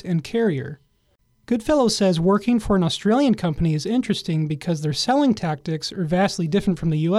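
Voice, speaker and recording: Man, news narration, measured, high pitch, dry recording. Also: a little mellow, dry recording. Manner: measured reading.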